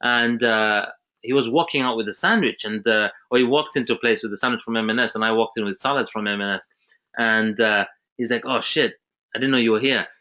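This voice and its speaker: Chatty man with a bright voice. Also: medium pitch, a little fast. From Zambia. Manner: chatty, with many restarts and fillers. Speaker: webinar host. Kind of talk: casual online webinar talk.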